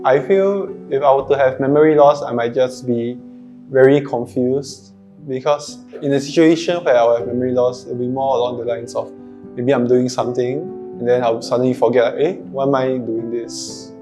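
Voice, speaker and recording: A man's voice: medium pitch, steady tone, normal speed, mellow. Young man, thoughtful student. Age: 20s. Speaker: university student. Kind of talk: casual interview answers. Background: guitar music.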